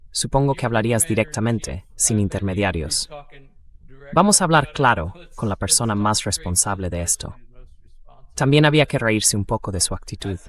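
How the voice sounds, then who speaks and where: A man with an average-pitched voice takes part in a political discussion as a guest commentator. His delivery is wry and critical.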